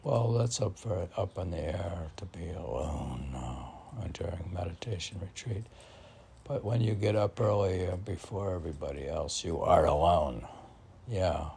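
A man with a very low, husky voice muses through his own poems on a homemade podcast. He speaks haltingly and at a slow pace, wandering between reading and talking to himself, often clearing his throat. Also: darkish tone. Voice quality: gravelly, husky. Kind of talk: informal poetry reading with rambling self-dialogue.

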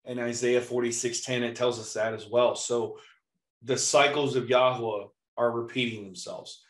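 A hoarse man delivers a casual Bible teaching, often clearing his throat. His tone is relaxed and chatty, and his voice carries a roomy echo. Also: medium pitch, moderate pace. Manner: relaxed, chatty, with light laughs. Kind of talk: informal sermon teaching.

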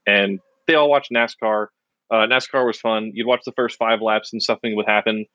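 A man's voice: lowish voice, fairly even intonation, normal speed, sharp tone, no echo, dull audio. A middle-aged American man co-hosting a podcast, telling stories in a rambling, self-mocking casual chat. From United States. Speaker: podcast co-host.

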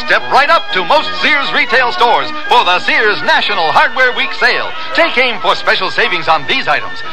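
A man's voice: very high-pitched, low-quality recording. A man as a commercial announcer, hawking a sale in a showy carnival barker style. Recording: 1970s radio ad with music.

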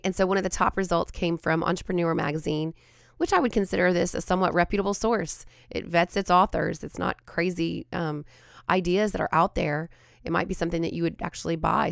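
A woman hosts a devotional podcast in a fairly low, gravelly voice, hoarse and raspy from a cold. She talks in an earnest, reflective way, often pausing with ums and restarting her sentences. The sound is dry and close to the mic. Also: dry recording. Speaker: podcast host and Bible teacher.

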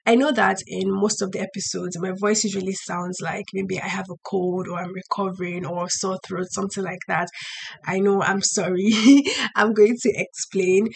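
A young woman hosting a faith podcast, talking in a chatty way with a hoarse voice and laughing between points. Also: medium pitch, little pitch movement, moderate pace. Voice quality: husky. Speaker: podcast host. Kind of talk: faith-based casual podcast talk.

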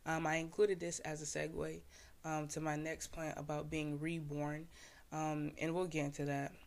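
A man's voice: a little high, completely flat delivery, normal speed, gravelly. A young man from Haiti, a podcast host and poet, casually explaining his own poem in laid-back slang full of "like" fillers.

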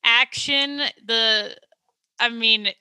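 A young woman chats as a guest on a podcast, her voice very bright and a little high. She often pauses with ums and restarts her sentences, and the sound is dry and close. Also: clear, resonant tone, no echo. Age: young adult. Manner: hesitant, many ums and restarts. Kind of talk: casual podcast chat.

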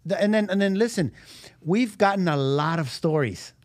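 A man with a Puerto Rican accent co-hosts a casual podcast, talking in animated bursts with restarts and trailing lines. His voice sits a little high. The sound is close and dry. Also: moderate pace, no echo. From Argentina. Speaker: podcast co-host. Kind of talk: casual chat.

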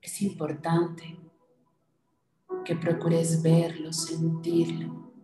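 A woman leading a guided meditation in a soothing manner, giving short instructions with pauses between them. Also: sits low, completely flat delivery, reverberant. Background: music.

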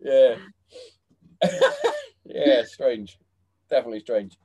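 A man hosts a relaxed conversation in a mid-range voice. He talks loosely and swears often, laughing between stories and restarting half-finished thoughts. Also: mid-range voice, takes it slowly, muffled recording, a little hiss or hum. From England. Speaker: podcast host. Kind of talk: casual chat.

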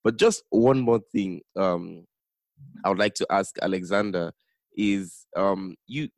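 A man's voice: sits low, a little slow, no echo. A man hosting a podcast and quizzing his guest in a playful, teasing way. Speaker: podcast host. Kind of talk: casual podcast interview.

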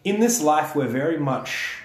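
A man's voice: a little high, expressive, a little slow. A young man hosting a podcast, speaking earnestly and encouragingly in long, building sentences. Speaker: podcast host. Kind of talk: reflective podcast conversation. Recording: roomy echo.

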